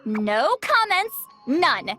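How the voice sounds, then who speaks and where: A woman's voice: high voice, very animated, extremely slow, bright, forceful tone, exaggerated voice. A woman playing a grumpy, easily embarrassed young-sounding woman in a video game, huffy and prone to stammer. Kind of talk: video game character acting. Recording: clear music behind.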